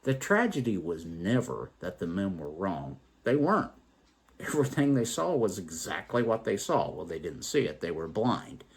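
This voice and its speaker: A man telling a story and reflecting on its moral as host, in a theatrical, emphatic, deliberate way. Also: deep voice, very animated. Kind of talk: dramatic poetry recital and moral reflection.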